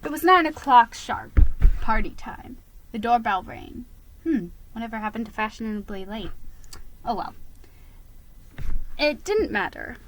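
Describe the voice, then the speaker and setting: Bright, unhurried woman reading a storybook. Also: fairly high pitch, expressive, rough recording. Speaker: storybook reader. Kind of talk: dramatic children's story narration, first person.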